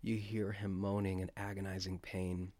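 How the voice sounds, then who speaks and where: A man leading a guided prayer meditation, speaking in a slow, hushed way as he guides listeners to imagine the scene. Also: deep voice, level tone, no room sound.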